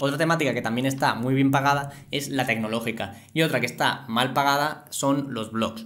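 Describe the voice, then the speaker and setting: A man's voice: medium pitch, a bit bright. A man in his twenties with an accent from Spain, presenting an explainer to camera as a YouTuber in an emphatic way. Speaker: YouTuber presenting a finance channel. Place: small home studio room, indoors.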